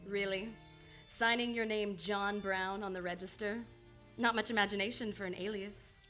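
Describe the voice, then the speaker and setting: A woman's voice: mid-range voice, fairly even intonation, fairly bright tone, close to an everyday voice. Composed, devoted lady doctor played by a woman, music behind. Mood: determined. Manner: measured, controlled, earnest. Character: composed, devoted, determined woman psychiatrist in love. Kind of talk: old-time radio drama acting. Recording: live radio play with music behind.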